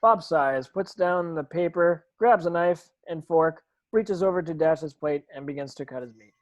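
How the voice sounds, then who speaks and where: A woman's voice: deep voice, normal speed, no room sound. Woman reading stage directions, dry sound. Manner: reading aloud with stumbles. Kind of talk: scripted narration.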